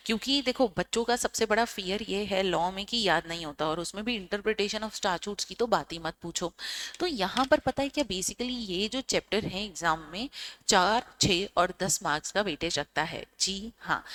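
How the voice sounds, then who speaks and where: A woman's voice: mid-range voice, a bit bright. A young woman from India teaching a casual exam revision lesson in mixed Hindi and English, with an Indian English accent and a chatty, coaxing way of checking in with students.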